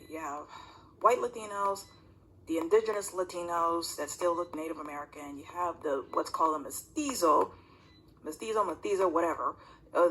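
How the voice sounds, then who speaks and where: A video commentator talking casually and bluntly in a rambling, self-interrupting way, with a husky, creaky voice, recorded on a phone. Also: mid-range voice, pushed and bright, poor audio.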